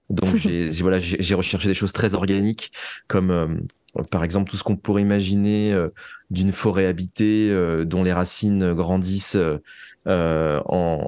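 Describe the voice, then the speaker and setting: Low-voiced man, hesitant and reflective. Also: a little mellow, dry recording. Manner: hesitant, reflective, searching for words. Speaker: interview guest, audio fiction writer and composer. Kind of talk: conversational interview about creative work.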